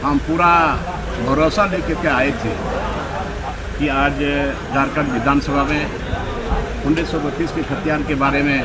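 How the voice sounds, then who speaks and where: A man makes an off-the-cuff statement to the press. His pitch is high, and a busy crowd and traffic surround him outdoors. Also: steady tone, unhurried, pauses often, poor audio.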